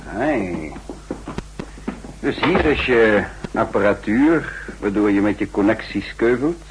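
A man acting a shrewd, sardonic counter-espionage chief in a 1960s radio drama, speaking deliberately in clipped, dryly ironic phrases. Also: fairly low pitch, lively intonation, background noise. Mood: wary, ironic.

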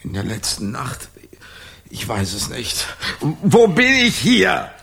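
An actor playing a frightened amnesiac with a head wound in a German radio crime drama, groggy, pained and halting. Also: male voice, medium pitch, huge pitch swings, creaky, a bit bright, full character voice. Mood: dazed, confused. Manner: halting, stammering, pleading. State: groggy, in pain.

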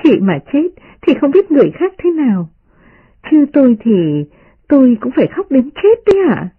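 A woman voicing a witty young noblewoman who teases in a high, playful voice. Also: sing-song, moderate pace. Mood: teasing. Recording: muffled radio broadcast.

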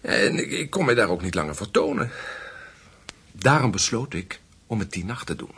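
An actor playing a fawning, coy, cold-blooded assassin in a radio play, with a husky voice. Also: male voice, on the low side, sing-song, moderate pace, a bit bright, full character voice. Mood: matter-of-fact.

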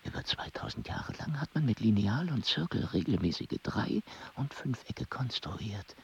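An actor playing an obsessive mathematician in a radio play who whispers and murmurs to himself in wonder. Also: male voice, fairly low pitch, very animated, moderate pace, gravelly, slightly rough, lo-fi sound, exaggerated voice. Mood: awed. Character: obsessive, excitable male mathematician. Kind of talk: radio drama acting.

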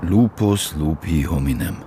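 An actor playing a grave, persistent police detective in a radio drama, terse and probing. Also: male voice, a bass voice, animated, measured pace, a little hiss or hum. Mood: weary, reflective. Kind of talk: naturalistic radio drama acting.

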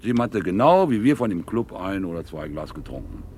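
An actor playing a callous, domineering boss in a radio crime drama, commanding and slickly persuasive. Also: male voice, sits low, very animated, brisk, darkish tone, slight background noise. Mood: grim, persuasive. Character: domineering, scheming male driver, callous boss covering up an accident.

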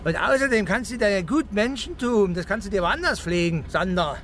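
A man's voice: high-pitched, animated, moderate pace, fairly bright tone, a little hiss or hum. An actor playing a quarrelsome, agitated village policeman in a radio drama, blunt and argumentative. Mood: indignant.

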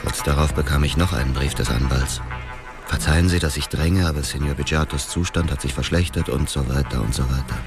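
An actor playing a grim, obsessed middle-aged widower with a gravelly voice, brooding and terse in a radio drama. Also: male voice, very deep voice, a little fast, over music. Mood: bitter, brooding. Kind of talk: radio drama narration and dialogue.

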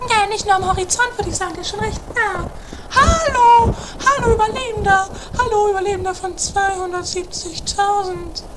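A woman's voice: very high voice, normal speed. A woman playing a bossy, quarrelsome sister in an improvised comedy radio play, exclamatory, taunting and haughty. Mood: mocking curiosity. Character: Mathilde, shrill, bossy, quarrelsome adventuring sister. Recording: faint noise, amateur 1980s radio play.

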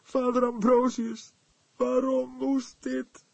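Man voicing an emotional young woman, pitched high. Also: flat delivery, slow pace, gravelly, a little mellow, dry recording, full character voice. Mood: sobbing grief. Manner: emphatic, emotional delivery. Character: tearful, fierce young woman, devoted and pleading.

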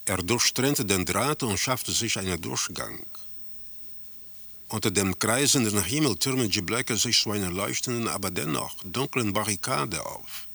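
An actor playing a detached male narrator in a radio drama, describing surreal scenes in a measured, scripted way. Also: fairly low pitch, lively intonation, gravelly, sharp tone, husky, no echo, exaggerated voice.

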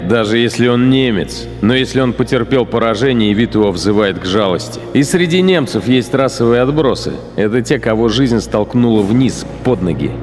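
In a long hall reverb with faint music behind, a male actor plays a fanatical Nazi SS commander. He is cold, cynical and boastful, speaking in a mid-pitched voice. His radio-drama monologue is theatrical and sardonic, with deliberate, contemptuous emphasis. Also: expressive, darkish tone, close to an everyday voice. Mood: cold contempt.

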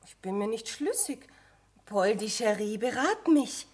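Coquettish schemer, high lively voice. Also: female voice, a little slow, husky. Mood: coy wheedling. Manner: affected, coy, wheedling. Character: calculating, coquettish young woman greedy to buy a wagon. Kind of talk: radio drama acting.

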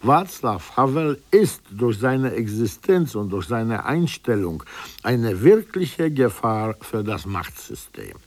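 A man reading a formal scripted lecture in a measured, earnest manner with emphatic phrasing. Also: mid-range voice, big pitch swings, no room sound.

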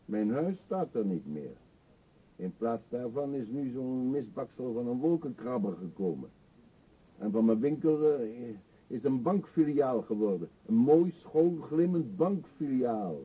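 An actor in a radio play voicing a middle-aged male vagrant, self-pitying and confessional, with a husky, halting, theatrical delivery. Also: mid-range voice, expressive. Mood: wistful, bitter. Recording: dull lo-fi radio play recording.

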